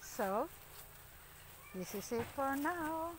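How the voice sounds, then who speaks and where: A woman's voice: high-pitched, lively intonation, drawn-out words. A middle-aged woman with a Filipino accent, chatting casually in a farm vlog, often laughing and filling gaps with "um", recorded on a phone held at arm's length outdoors. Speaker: farm vlogger. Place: outdoors on a rural farm path. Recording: outdoor phone audio with rural noise.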